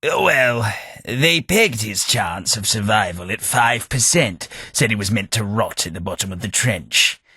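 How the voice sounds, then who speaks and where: An actor playing an eccentric, long-lived aristocrat who rambles through wry, theatrical stories in a husky voice, for a video game. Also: male voice, expressive, pushed and bright, dry recording, exaggerated voice. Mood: rambling. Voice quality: deep, husky, slow and theatrical. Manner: rambling storyteller, dry self-mocking wit. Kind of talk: game voice acting.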